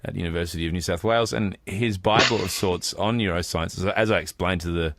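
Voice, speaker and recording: A man's voice: deep voice, fairly quick, fairly bright tone, a little hiss or hum. An Australian man co-hosting a podcast, chatting casually in a discursive, explanatory way. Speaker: podcast co-host.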